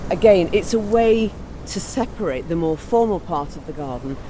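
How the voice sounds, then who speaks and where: A woman's voice: fairly low pitch, animated, moderate pace. Lady tour guide chatting while showing someone round outside, noisy field recording. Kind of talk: informal guided tour talk. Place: outdoors, walking in a garden. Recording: outdoor field recording with ambient noise. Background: outdoor ambience.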